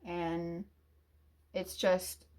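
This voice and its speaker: A woman in a confessional monologue, talking hesitantly and emotionally with many restarts and sighs, sometimes dropping to a quiet low mumble. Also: level tone, very slow. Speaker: YouTuber.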